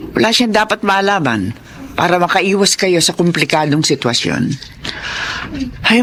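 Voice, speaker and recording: Dramatic, protective Filipino mother, animated. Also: on the low side. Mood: grave, protective. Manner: emphatic, exclaiming, motherly. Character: protective, dramatic older mother, soon a grandmother. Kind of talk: Filipino radio drama acting. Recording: noisy radio drama track.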